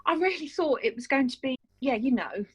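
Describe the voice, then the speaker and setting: Woman as disappointed, indignant household character, husky, high-pitched, lively intonation. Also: fairly quick. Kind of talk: amateur musical read-through.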